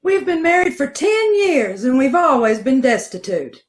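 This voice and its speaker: A man doing a whiny falsetto wife. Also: big pitch swings, moderate pace. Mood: plaintive. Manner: plaintive falsetto echo. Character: meek put-upon wife Arlene, woman, middle-aged. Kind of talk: old-time radio comedy acting. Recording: distant video call audio.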